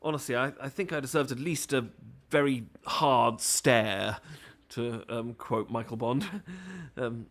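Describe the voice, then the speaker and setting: A male performer voices an adorkable British man in a romantic ASMR-style roleplay. He is nervous, bookish and apologetic, with a mid-pitched voice. His sentences stammer, stall and wander off into hesitant, flustered rambling. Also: a little slow, hardly any pauses, a bit bright, close to an everyday voice. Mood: sheepish, rambling.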